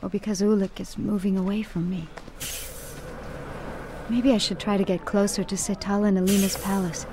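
A woman's voice: medium pitch, flat delivery, normal speed, pauses often, background noise, lightly acted. Woman playing an earnest, worried young Jedi. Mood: anxious, searching. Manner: earnest, musing, polite. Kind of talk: audio drama acting.

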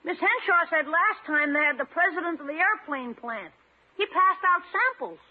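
Brief: cheeky young nephew, extremely high, bouncy voice, deadpan wisecracks, old radio comedy. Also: male voice, normal speed. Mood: wisecracking. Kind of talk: 1950s radio comedy acting. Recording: thin, muffled old radio recording.

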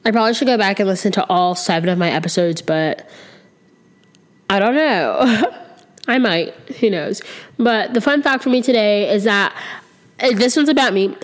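A woman in her twenties hosting her own podcast alone, slightly sick and a little nasal, rambling and candid with lots of ums, sitting close to the mic. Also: mid-range voice. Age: 20s. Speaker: podcast host. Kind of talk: casual solo podcast chat. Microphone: close to the microphone.

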